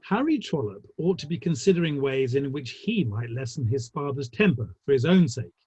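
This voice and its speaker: Man playing arch Victorian gentleman, husky, very animated. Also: medium pitch, normal speed, no room sound, dull audio. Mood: gossipy, arch. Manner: arch, dry wit, formal phrasing. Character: wry, gossipy Victorian theatrical gentleman, amused and worldly. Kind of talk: online dramatic play reading.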